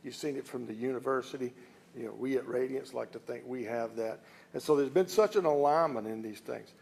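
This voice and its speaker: A man's voice: mid-range voice, brisk, vocal fry, a little mellow, husky. A middle-aged or older man with a Southern US accent, an executive giving an informal public speech in a folksy, emphatic, plain-spoken way. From southern United States (Alabama and Louisiana). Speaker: company executive speaking at an announcement.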